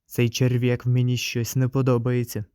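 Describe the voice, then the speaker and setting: A man's voice: mid-range voice, monotone, moderate pace, warm tone, clear, resonant tone, dry recording, faint music behind, natural voice. A man voicing a wry, irritable student who is a reluctant comic hero, with a dry, grumbling manner. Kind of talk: comic dub acting.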